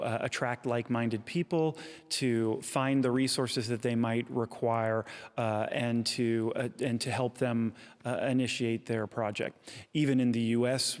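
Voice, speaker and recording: Measured man in a reverberant space. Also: mid-range voice. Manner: measured, deliberate, with fillers. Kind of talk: interview talk. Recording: long reverb.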